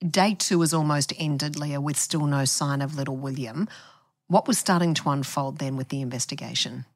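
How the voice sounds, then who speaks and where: A woman with a notably deep voice hosts a podcast. She moves between narrating events and interviewing, laying out each stage before asking about it. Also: normal speed. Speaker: podcast host.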